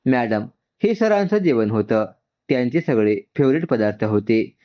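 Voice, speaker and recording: A man's voice: mid-range voice, animated, moderate pace, no room sound, highs cut off, close to an everyday voice. A man voicing a deferential, apologetic canteen waiter in a story, polite and meek. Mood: meek, pleading.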